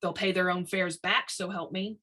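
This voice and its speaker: A harried construction boss read by a woman in a science fiction storybook, stubborn and blunt. He speaks emphatically in a slightly husky voice. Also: on the low side, fairly even intonation, normal speed, pushed and bright. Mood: worried.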